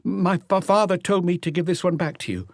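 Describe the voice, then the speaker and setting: A man's voice: sits high, fairly even intonation, fairly quick, dry recording, studio quality, pitched above the performer's own voice. A man voicing a guilty, timid young schoolboy who stammers in an audiobook story. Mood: guilty, stammering.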